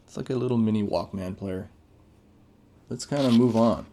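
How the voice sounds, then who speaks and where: A man's voice: fairly low pitch, moderate pace, warm tone. A man giving casual, off-the-cuff unboxing commentary as a tech reviewer, laid-back and informal with plenty of slang.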